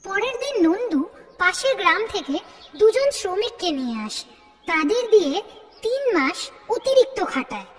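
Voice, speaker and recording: Lady telling a kids fairy tale, really high sing-song lively voice, reverby hall sound. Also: moderate pace. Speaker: narrator. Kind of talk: scripted children's fairy-tale narration.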